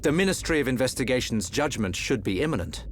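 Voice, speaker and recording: An actor playing a dignified prince in a video game, speaking formally and precisely with courtly composure. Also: male voice, medium pitch, big pitch swings, fast, a little hiss or hum, natural voice. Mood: measured. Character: composed, dignified adult prince and loyal advisor. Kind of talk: dramatic video game cutscene acting.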